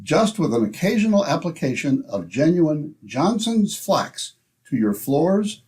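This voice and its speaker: A man's voice: on the high side, big pitch swings, measured pace, darkish tone. A man announcing in old-time radio style, with polished, salesmanlike phrasing.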